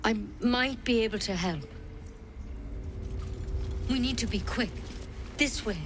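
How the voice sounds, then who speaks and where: An actress playing a practical woman druid healer in a video game, with a brisk, plain-spoken bedside manner. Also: a little high, background noise. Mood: concerned.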